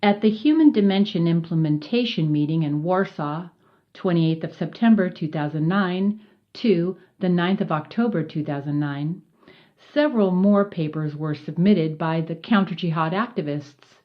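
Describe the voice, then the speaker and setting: A woman reading a written report aloud in a formal, measured way, spelling out acronyms letter by letter. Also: lowish voice, highs cut off. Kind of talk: scripted narration read from a report.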